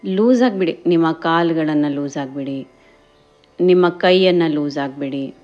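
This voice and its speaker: A woman guides a meditation in gentle, encouraging instructions, addressing listeners as friends and mixing in English words. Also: sits low, unhurried, dull audio. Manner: mixes English words and phrases into speech.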